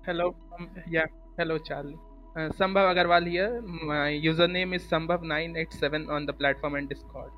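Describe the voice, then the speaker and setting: A man's voice: fairly high pitch, fairly even intonation, normal speed, muffled recording, soft background music. A young man from eastern India with an Indian accent, chatting as an interview guest. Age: young adult. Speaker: podcast guest, student tester. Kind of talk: casual interview talk.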